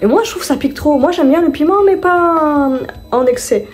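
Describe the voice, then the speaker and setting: A chatty woman talks through her shopping haul at home in a relaxed, mellow voice. She rambles and corrects herself as she goes. Soft music plays quietly behind her. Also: sits high, a little fast. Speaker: vlogger. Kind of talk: casual vlog chat, showing her shopping haul.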